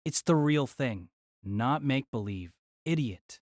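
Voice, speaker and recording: Man acting whiny young mage, swooping pitch. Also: lively intonation, a little slow, no echo, clean studio sound, close to an everyday voice. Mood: scornful. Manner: whiny, scolding. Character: petulant, exasperated young male student mage. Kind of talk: fan audio drama acting.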